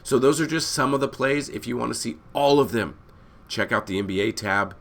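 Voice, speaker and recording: A hoarse man who is losing his voice, hosting a casual fantasy basketball breakdown that rambles and corrects itself as he talks to viewers. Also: medium pitch, fairly quick, a bit bright. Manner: rambling, self-correcting, addresses viewers as guys. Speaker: fantasy sports analyst host. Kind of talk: casual screen-share breakdown.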